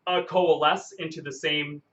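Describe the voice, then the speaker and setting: A man giving an unscripted, explanatory science lecture, halting and often correcting himself as he goes. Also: on the high side, fairly even intonation, fairly bright tone, highs cut off.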